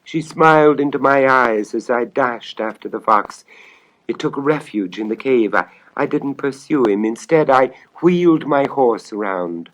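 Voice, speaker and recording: A man voicing an anguished, lovesick, secretive king in a storybook tale, speaking in an impassioned, halting, confessional way. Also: mid-range voice, lively intonation, voice pushed up, lightly acted, poor audio. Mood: wistful, impassioned. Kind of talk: storybook audio dramatization.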